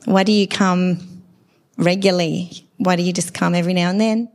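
Hoarse woman preaching conversationally. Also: lowish voice, steady tone, moderate pace, a little mellow. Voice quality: dry voice. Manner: conversational, asks the congregation questions. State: hoarse after laryngitis. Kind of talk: sermon. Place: church service.

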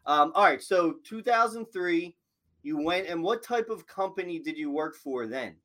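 A man's voice: a little high, normal speed. A man hosting a podcast interview, chatting casually and asking questions with plenty of fillers. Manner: relaxed, filler-heavy questioning. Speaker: podcast host.

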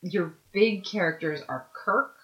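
A woman co-hosting a podcast in casual chat, lively and quick to act out characters' lines. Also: lowish voice, lo-fi sound. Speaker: podcast co-host. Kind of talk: casual chat recapping an episode.

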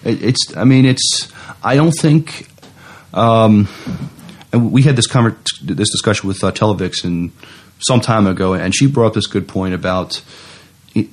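A man's voice: on the low side, normal speed. A man co-hosting a podcast, giving casual news commentary. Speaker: podcast co-host. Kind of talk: casual news commentary, some reading aloud.

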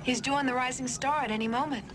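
Woman acting a higher-voiced young bridge officer. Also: steady tone, quick pace, music in the background, lightly acted. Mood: coy, wistful. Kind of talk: 1970s TV science fiction drama acting. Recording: noisy TV soundtrack with ship ambience.

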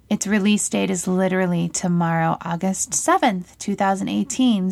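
A woman hosting a podcast, bubbly and enthusiastic as she chats with her guest. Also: medium pitch. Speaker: podcast host. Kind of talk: casual interview chat.

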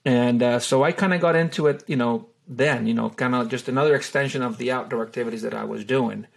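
A middle-aged man from Honduras, a wildlife photographer and guide, answering interview questions in a relaxed way with lots of fillers and restarts. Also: mid-range voice, fast, gravelly. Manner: hesitant, many fillers and restarts.

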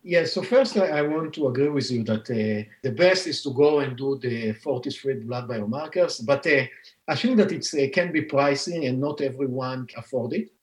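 A man's voice: medium pitch, normal speed. A man in his forties with an Israeli accent, a scientist and founder explaining his work in a podcast interview, with many fillers and restarts. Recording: roomy echo.

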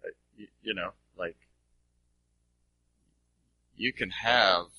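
A lowish, husky male voice with a very bright, pressing edge. He teaches informally in answer to questions, emphatic and colloquial, in a dry recording. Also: dry recording, rough recording. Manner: colloquial, emphatic, checks for understanding.

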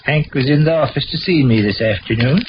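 A man's voice: mid-range voice, brisk, natural voice. An actor playing a pompous, middle-aged small-town husband and father with an American accent, speaking in wordy formal phrases in 1940s radio comedy style. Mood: earnest, persuasive. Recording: muffled, noisy old radio recording.

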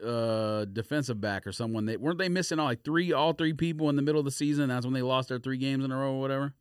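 A man's voice: medium pitch, fast, clean tone, no room sound, clean studio sound. A man co-hosting a podcast in casual, slangy sports banter. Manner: slangy, frequently says bro and fam. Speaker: podcast co-host.

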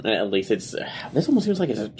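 A man's voice: on the low side, big pitch swings, fast talker, darkish tone, slight background noise. A man playing a tabletop game, speaking in character as a fussy, studious scholar. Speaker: player.

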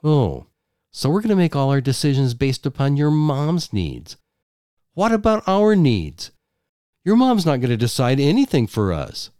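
A worn-out young husband, as the narrator voices him, flat, clipped and resigned. He talks slowly, low in the throat, in a dry, close sound. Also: dry recording. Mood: fed up. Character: weary young husband, tired and fed up.

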